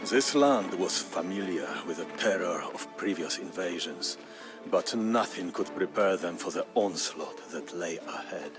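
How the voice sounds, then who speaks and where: A man narrating a historical tale as scripted storytelling, in a grave, measured, theatrical manner. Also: low voice, lively intonation. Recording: music behind the voice. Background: music.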